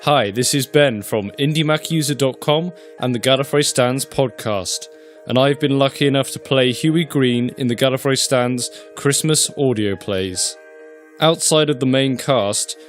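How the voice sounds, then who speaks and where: A man's voice: mid-range voice, normal speed, faint music behind. A man, a podcaster, reading scripted behind-the-scenes notes. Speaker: podcaster and cast member.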